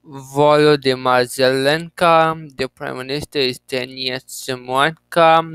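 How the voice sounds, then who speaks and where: A young man with a slightly high, gravelly voice that still sounds smooth and clear. He reads an encyclopedia page haltingly, restarting words and tossing in offhand jokes. The sound is dry and close, from a desk mic. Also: a bit bright, no echo, low-quality recording. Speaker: geography channel host. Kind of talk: reading and commenting on an encyclopedia page.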